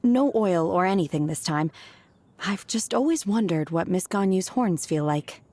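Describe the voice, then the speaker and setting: Detached blunt young woman; calm deadpan reads. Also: fairly low pitch, normal speed. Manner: deadpan, flat, matter-of-fact.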